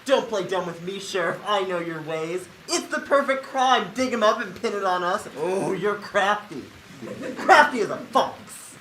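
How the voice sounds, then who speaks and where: A young woman acting a deadpan, evasive, dim-witted husband in a high school stage comedy, recorded on an echoey stage. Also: a little slow. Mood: mock accusing. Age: teen. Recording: echoey noisy stage, distant mic.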